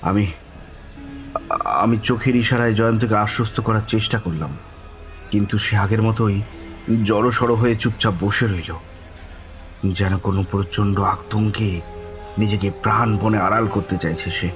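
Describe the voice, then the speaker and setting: A man's voice: fairly low pitch, faint music behind, natural voice. Actor as a bewildered man narrating ghostly horror. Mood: dazed, uneasy. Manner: halting, breathy repetitions, suspenseful, pleading in dialogue. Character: bewildered, frightened male narrator of village ghost story. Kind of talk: dramatic first-person horror audio story narration.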